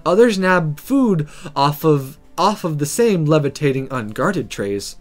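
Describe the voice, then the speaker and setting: A let's player reading a visual novel aloud in a dramatic, sometimes halting storytelling manner. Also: mid-range voice, lively intonation, soft background music.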